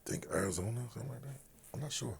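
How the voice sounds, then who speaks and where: A man's voice: medium pitch, rapid-fire, gravelly, slight background noise. A middle-aged man from Philadelphia with a big voice, talking bluntly and with profanity as a guest in a casual interview.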